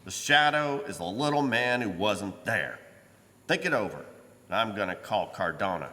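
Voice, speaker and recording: Man acting a forceful, blustery police commissioner. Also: fairly low pitch, animated, moderate pace. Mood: irritated, insistent. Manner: blustery, brusque. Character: gruff, impatient male police commissioner, stubbornly suspicious. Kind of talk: old-time radio drama acting. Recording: live stage in reverberant church hall.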